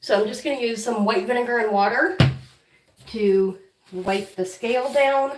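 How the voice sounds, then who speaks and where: Stuffy-nosed woman with a cold, chatty and fond with her pets, slightly high voice, echoey room, kinda far off the mic. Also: normal speed, noisy background. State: congested with a head cold, clearing throat. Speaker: guinea pig channel host. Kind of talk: casual livestream chat while caring for pets. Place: room at home, weighing pets on a counter.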